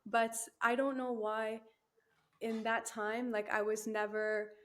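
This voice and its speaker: A woman's voice: a little high, little pitch movement, takes it slowly, fairly bright tone. A young woman, chatting as a guest in an interview, laughing easily and using lots of fillers. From Canada. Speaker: guest, new team member. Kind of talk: casual interview chat.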